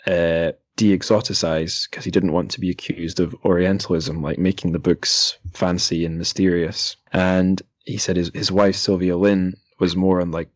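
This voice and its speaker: A man's voice: low-pitched, level tone, moderate pace, hardly any pauses, no room sound. A man hosting a casual literary interview, thinking aloud in a hesitant way and often correcting himself. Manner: hesitant, self-correcting, musing. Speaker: podcast host.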